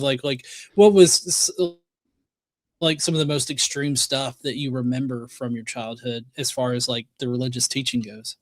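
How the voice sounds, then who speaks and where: A man hosting a casual interview, feeling his way through meandering questions in a halting, hesitant manner. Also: medium pitch, no room sound. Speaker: podcast host, interviewer.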